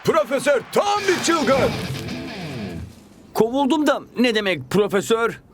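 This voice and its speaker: An actor voicing an eager, naive young cartoon footballer with an earnest, exclamatory delivery. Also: male voice, very high voice, sing-song, a little slow, music in the background, exaggerated voice. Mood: dismayed. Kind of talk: animated cartoon dub acting.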